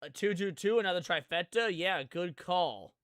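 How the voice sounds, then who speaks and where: Casual higher-voiced man, dry close sound. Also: animated, moderate pace, a bit bright, no room sound. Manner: informal, thinking aloud. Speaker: streamer reacting to a video series. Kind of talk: casual live reaction commentary, talking to viewers.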